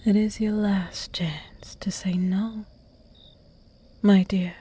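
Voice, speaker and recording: A flirty, predatory vampire, played by a woman whispers close in a breathy, dark hush. Each word comes out unhurried and teasing, with faint music underneath. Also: mid-range voice, steady tone. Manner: slow, teasing, hushed and intimate. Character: seductive predatory vampire, flirty noble demon. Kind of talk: whispered ASMR roleplay audio.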